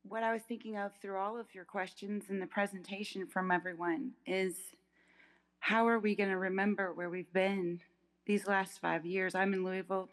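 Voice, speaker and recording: A woman's voice: medium pitch, steady tone, normal speed. A woman from the United States giving an earnest, thoughtful comment as an audience member, unscripted. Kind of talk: spontaneous, reflective comment. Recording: reverberant hall sound.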